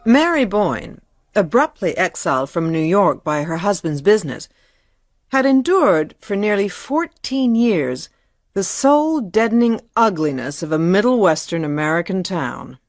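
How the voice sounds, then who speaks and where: An actress playing a wry literary lady who narrates a radio drama in a measured, deliberate manner. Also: on the low side, sing-song, dry recording, clean studio sound. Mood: wry, brooding.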